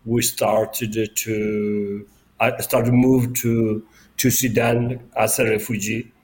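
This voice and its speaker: Ethiopian-accented male guest, 40s, Amharic native, unhurried and halting. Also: fairly low pitch, level tone, darkish tone. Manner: halting, many fillers and restarts. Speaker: guest journalist and author. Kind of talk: remote interview.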